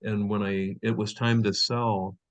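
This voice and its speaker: A man's voice: fairly low pitch, level tone, unhurried, lo-fi sound. A middle-aged man hosting a business interview show, talking in a rambling way with lots of fillers. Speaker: host. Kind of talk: interview host chat.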